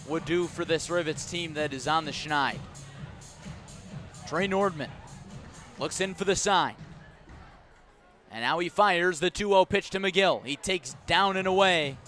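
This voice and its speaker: A man's voice: on the high side, unhurried, pauses often, a bit bright, soft background music, some chatter behind. An American man calling live baseball on the radio as play-by-play announcer, narrating dramatically in short, clipped phrases. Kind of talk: live radio sports commentary.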